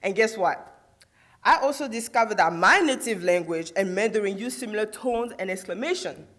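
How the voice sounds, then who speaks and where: A woman's voice: mid-range voice, expressive, moderate pace, fairly bright tone. A young woman in her twenties from Côte d'Ivoire, with an Ivorian accent and Guro as her first language, delivering an earnest, emphatic oratory speech full of rhetorical questions to an audience in a college room. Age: 20s. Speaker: student orator. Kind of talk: prepared speech in an oratory contest.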